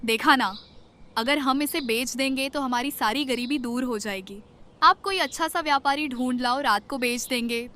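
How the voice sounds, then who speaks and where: Woman acting sharp high-pitched shrewish wife. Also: normal speed. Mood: scheming. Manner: sharp-tongued, nagging. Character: shrewish, greedy, scolding village wife. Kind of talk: animated moral story acting.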